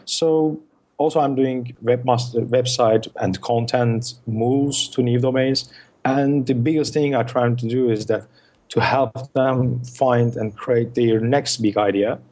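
Husky-voiced man. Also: mid-range voice, steady tone, measured pace, a little mellow. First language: Turkish. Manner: explains in lists, with frequent uh fillers and restarts. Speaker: guest, search marketing consultant. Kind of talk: podcast interview answers.

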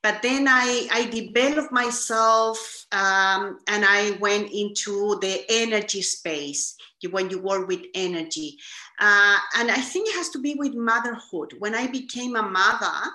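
Lady, soft and thoughtful, lots of ums and restarts, bright voice, roomy echo. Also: mid-range voice. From Chile. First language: Spanish. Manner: soft-spoken, reflective, halting with fillers and restarts. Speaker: guest. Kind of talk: podcast interview. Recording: echoey room.

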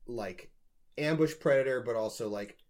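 A man's voice: medium pitch, normal speed, darkish tone. A man co-hosting a podcast, chatting casually and riffing with jokes. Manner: joking, riffing. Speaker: podcast co-host. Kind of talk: casual comedic chat.

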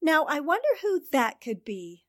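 A woman's voice: sits high, sing-song, normal speed, a bit bright, no room sound, studio quality. A woman acting a determined, easily exasperated newspaper reporter in a 1940s-style radio drama. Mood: wary, businesslike.

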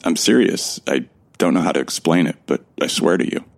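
Guy playing confused amnesiac dude telling his own story, super deep gravelly voice, reflective, audio drama. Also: a little fast, exaggerated voice. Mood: bewildered, earnest. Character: bewildered, introspective amnesiac man from Earth, recounting events. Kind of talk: first-person audio drama narration.

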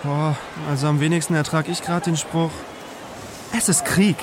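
A man's voice: fairly high pitch, fairly even intonation, moderate pace, lightly acted. A man playing an exasperated young activist, colloquial and mocking, in naturalistic audio drama. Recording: clear outdoor background noise.

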